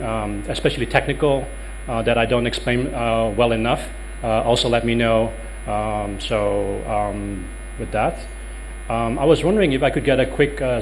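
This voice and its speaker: A man's voice: mid-range voice, slight background noise, poor audio. A man in his thirties with a Dutch accent giving a workshop talk in a conference room, hesitant with many ums and uhs. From Netherlands. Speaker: lecturer.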